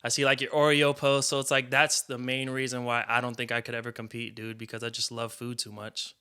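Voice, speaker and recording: A man's voice: medium pitch, monotone, a little fast, bright, forceful tone, smooth. An American man hosting a casual interview, talking in an informal, conversational way. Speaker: interviewer, host.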